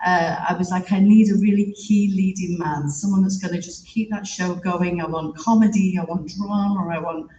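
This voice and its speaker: British man, high voice, echoey stage. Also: steady tone, highs cut off, slight background noise. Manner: halting, warm and reflective, with soft laughs. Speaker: eulogy speaker. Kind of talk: heartfelt spoken tribute. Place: theater stage. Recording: echoey room from a distance.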